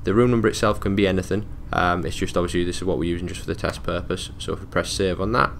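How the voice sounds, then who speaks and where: A man presenting a technical support tutorial, talking through software steps in a casual, informal, matter-of-fact way. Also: low-pitched, fairly even intonation, fast, creaky, a little hiss or hum. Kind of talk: casual step-by-step software walkthrough.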